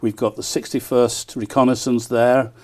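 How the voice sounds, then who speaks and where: An enthusiastic man promoting an event as a broadcast interview guest, often pausing on small hesitations. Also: medium pitch, flat delivery. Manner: enthusiastic, frequent hesitant ers. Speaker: town crier and festival promoter, interview guest.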